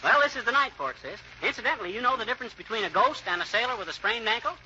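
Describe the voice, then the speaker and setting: A man's voice: very high voice, expressive, racing, pushed and bright, heavily acted. A man playing a boastful, prank-loving joker in an old radio comedy, with folksy, wordy patter. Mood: joking. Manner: folksy bragging, tongue-twisting alliterative patter. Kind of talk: 1940s radio comedy acting. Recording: muffled, very noisy old radio recording.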